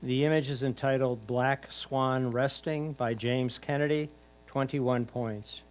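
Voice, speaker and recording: A man acts as score announcer for a photography competition. He reads out titles, names and points in a middle-pitched voice. The style is a formal announcement. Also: steady tone, measured pace, rough recording.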